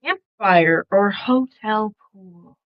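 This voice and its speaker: A woman's voice: medium pitch, expressive, extremely slow, muffled recording. A female speaker reading out quiz questions in a casual podcast style.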